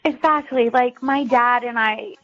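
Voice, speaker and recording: A woman's voice: fairly high pitch, measured pace, muffled recording. A young American woman calling a radio show, explaining herself casually and hesitantly with lots of filler words. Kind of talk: casual conversation.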